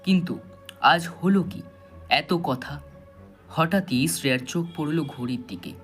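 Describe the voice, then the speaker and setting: A man's voice: a little high, unhurried, a bit bright. A man narrating a scripted thriller story in a dramatic, suspenseful storytelling manner. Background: background music.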